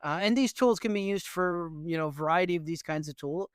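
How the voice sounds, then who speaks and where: A middle-aged man from Newfoundland, Canada, answering interview questions as an education professor in an earnest, animated way with frequent fillers. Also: high-pitched, fairly quick, clear, resonant tone, dry recording, studio quality.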